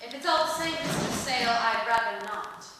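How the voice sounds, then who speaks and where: An actress playing a prim, guarded wife hiring a detective, with formal, deliberate diction in old radio serial style. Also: female voice, on the high side, close to an everyday voice. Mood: cool, formal. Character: prim, guarded married female noir client. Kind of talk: 1940s radio serial acting. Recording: roomy distant hall recording, faint noise.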